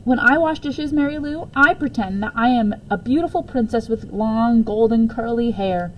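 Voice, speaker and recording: A woman's voice: a little high, moderate pace, lo-fi sound, a little hiss or hum, close to an everyday voice. A woman voicing a kindly, playful woman who loves make-believe in a children's story, with a theatrical manner. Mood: dreamy, dramatic.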